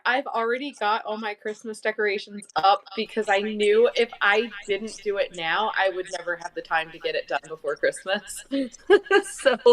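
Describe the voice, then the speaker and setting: A woman's voice: fairly high pitch, fairly bright tone, poor audio. Chatty, enthusiastic woman in casual conversation. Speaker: co-host. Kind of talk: casual chat.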